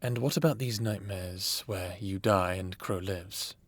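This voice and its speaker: A man playing a patient government therapist in an audio roleplay, with measured, clinical and formal diction, close on the mic. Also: low-pitched, husky, no room sound. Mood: measured, probing. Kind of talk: cinematic audio roleplay acting, addressing the listener. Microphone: close, dry studio mic.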